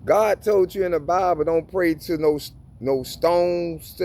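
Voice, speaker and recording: A man's voice: on the high side, slow pace, darkish tone. Man preaching casually over a reading. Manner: casual preaching, restarts and repeats words. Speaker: Bible teacher. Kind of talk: informal Bible reading and commentary.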